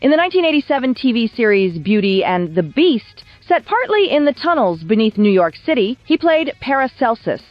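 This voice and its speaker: Casting: female video essay narrator, fairly high, very expressive, polished and wry, dry dull recording with music underneath. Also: brisk, hardly any pauses, no echo. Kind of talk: scripted documentary narration.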